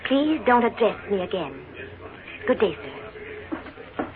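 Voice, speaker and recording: A woman's voice: medium pitch, big pitch swings, takes it slowly, heavy background noise. An actress playing a proud, icily polite lady passenger in a 1940s radio drama, formal and cutting. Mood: icy, scornful. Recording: tinny 1940s radio recording, busy background.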